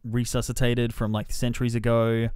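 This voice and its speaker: Male podcast reviewer, medium pitch, conversational and measured, dry close recording. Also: monotone, a little mellow, clean tone, no echo. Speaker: film reviewer and podcast co-host. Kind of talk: casual film discussion.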